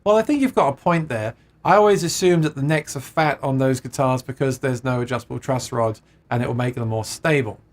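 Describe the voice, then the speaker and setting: A man's voice: mid-range voice, a little fast, hardly any pauses. A man hosting a casual livestream, reading out viewer comments and chatting with plenty of laughs and restarts. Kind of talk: casual chat about guitars.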